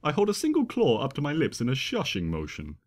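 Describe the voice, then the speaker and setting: Highly animated male story narrator. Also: fast talker. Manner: expressive, theatrical. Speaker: fan fiction reader. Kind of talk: scripted first-person story narration. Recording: long hall reverb.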